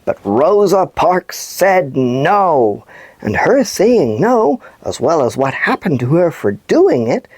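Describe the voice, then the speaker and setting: A woman reading scripted stories and segments for children as a show presenter, with expressive, deliberate delivery. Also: low voice, breathy edge, no room sound.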